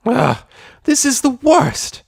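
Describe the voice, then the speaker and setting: A man's voice: extremely high pitch, wildly swooping pitch, slow pace, vocal fry, slightly rough, dry recording, studio quality, voice pushed up, full character voice. A man voicing a brash, irritable young female pegasus pony from a cartoon story, with a cracking voice and slangy, blustering delivery. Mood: exasperated, defiant. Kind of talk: animated character voice in a story reading.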